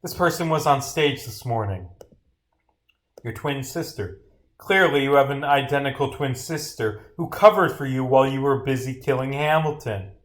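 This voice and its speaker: A man's voice: medium pitch, normal speed, a bit bright, close to an everyday voice. Man reading as a bumbling, cocky detective. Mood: confident. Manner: rambling, easily sidetracked. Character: bumbling, scatterbrained detective, cocky yet easily confused.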